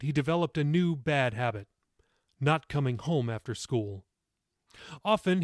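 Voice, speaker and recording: A man narrates a novel in a scripted audiobook style. His voice sits a little high, and the recording is very dry with no room sound. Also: no echo. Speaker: author reading his own novel.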